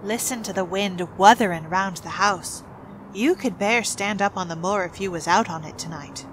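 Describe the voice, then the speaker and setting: An actress playing a kindly young housemaid, telling things in a homely, gossipy way. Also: female voice, mid-range voice, moderate pace, slight background noise, natural voice, rough recording. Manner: gossipy, homely dialect storytelling.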